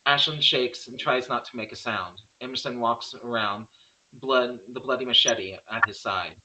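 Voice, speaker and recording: A man's voice: medium pitch, moderate pace, bright, forceful tone, rough recording. A man reading the stage directions of a horror screenplay in plain scripted narration.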